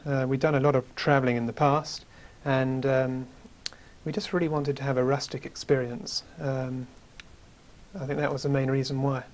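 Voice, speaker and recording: A man in his thirties with a British accent, a guest in a studio interview, telling about his travels in a measured way with many fillers, on a lapel mic. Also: mid-range voice, flat delivery, slight background noise. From United Kingdom. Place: small studio with curtain backdrop.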